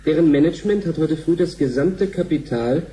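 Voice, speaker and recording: Man as very dark-toned news reader. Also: on the high side, close to an everyday voice. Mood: sober, official. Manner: formal, measured newsreading. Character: sober male news reader of the future. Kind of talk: news bulletin in a sci-fi radio drama. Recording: very dull sound, faint noise.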